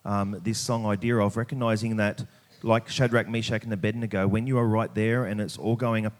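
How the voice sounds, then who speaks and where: A man preaching a sermon, speaking emphatically and pausing deliberately on key words. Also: fairly low pitch, level tone. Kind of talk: sermon with scripture reading.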